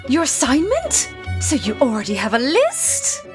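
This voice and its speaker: A woman playing a brash, excitable, haughty aristocratic lady in an anime-style manga dub. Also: sits high, measured pace, exaggerated voice. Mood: incredulous. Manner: dramatic, haughty, exclamatory. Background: music.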